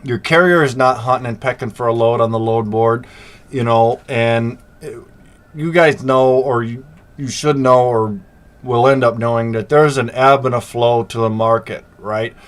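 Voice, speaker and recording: A man's voice: mid-range voice, fairly even intonation, unhurried. A middle-aged man hosting a freight business channel, talking casually to viewers with a slight sore throat, plenty of fillers and easygoing asides. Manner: conversational, many fillers, reassuring asides. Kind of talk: casual talk to camera.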